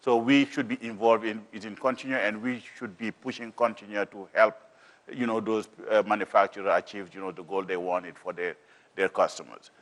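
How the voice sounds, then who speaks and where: A man with a deep voice presenting on a panel as a business manager, in a halting, repetitive way full of filler phrases. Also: flat delivery, fairly bright tone. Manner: halting, repetitive, peppered with "you know".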